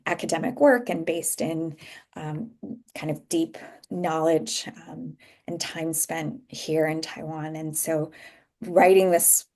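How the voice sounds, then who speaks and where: An American woman in her thirties, a guest author talking thoughtfully about her book over a video call, with frequent pauses. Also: low voice, gravelly. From United States. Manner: thoughtful, soft-spoken, pauses often. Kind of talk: reflective conversation.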